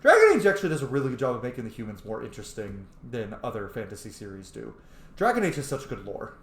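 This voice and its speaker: A man's voice: medium pitch, huge pitch swings, fast, slight background noise. A man giving casual roleplay commentary over a video game, chatty, rambling and informal. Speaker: gaming host. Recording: roomy, echoing voice recording.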